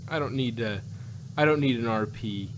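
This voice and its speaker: Man, medium pitch, casual podcast chat, halting and rambling, clear hum and noise behind the voice. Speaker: podcast co-host. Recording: clearly noisy, steady hum.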